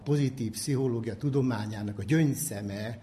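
A man explaining concepts as a psychology expert in a formal, measured, didactic lecturing manner. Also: mid-range voice, loud music behind the voice.

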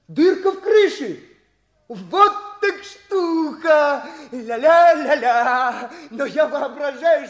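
An actor playing an absent-minded, eccentric scientist in a 1960s radio play, cheerful and excitable, exclaiming, repeating phrases and humming to himself. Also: male voice, exaggerated voice. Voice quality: high-pitched, piping voice.

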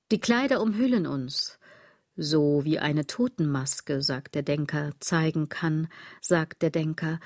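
A low-pitched, sardonic woman delivers a literary radio monologue in a close, dry sound. She is deliberate and declamatory, musing straight at the listener and letting phrase ends sink almost to a whisper. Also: a little mellow, dry recording, full character voice. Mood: musing, ironic. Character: musing, sardonic female speaker addressing the listener directly.

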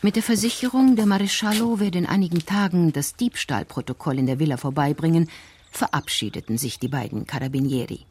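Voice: medium pitch, brisk, darkish tone, slightly rough. A narrator reading the scripted prose of a crime story in a radio drama.

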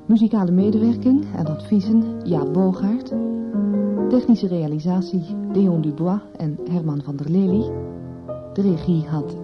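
A woman's voice: lowish voice, unhurried. A woman announcer reading the cast and credits of a radio drama in a formal, scripted way. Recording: dull old radio recording. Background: music.

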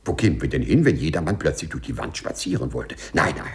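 A man's voice: extremely low pitch, sing-song, fast talker, husky, full character voice. An actor playing a timid, overly correct male civil servant with hesitant, formal phrasing in a 1950s radio play. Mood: earnest, anxious.

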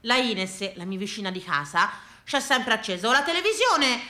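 A woman with a Tuscan accent performing a theatrical monologue, colloquial, with dramatic pauses and repetitions. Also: on the high side, big pitch swings, bright, forceful tone. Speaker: stage performer, storyteller. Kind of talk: theatrical comic-poignant monologue. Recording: echoey room sound.

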